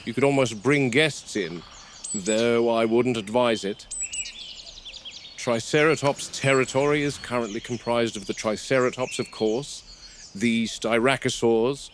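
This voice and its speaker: A man playing a grave, observant game warden, narrating a scripted field log in a measured, dry, reflective way. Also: medium pitch, slight background noise, close to an everyday voice. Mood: dry, matter-of-fact.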